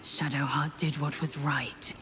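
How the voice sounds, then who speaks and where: Very low, solemn warrior. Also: female voice, flat delivery, a little slow, fairly bright tone, slight background noise. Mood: grave approval. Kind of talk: formal video game dialogue.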